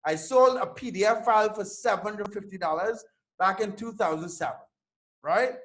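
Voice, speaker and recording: A man in his thirties from Trinidad and Tobago with a notably high voice. He talks as an interview guest, animated and loose, dropping curses and stumbling over repeated words as he tells his story. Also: moderate pace. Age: 30s. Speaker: guest entrepreneur.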